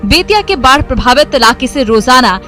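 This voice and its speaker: A woman's voice: high voice, expressive, normal speed, over music. A woman reading formal scripted news narration as a voice-over reporter.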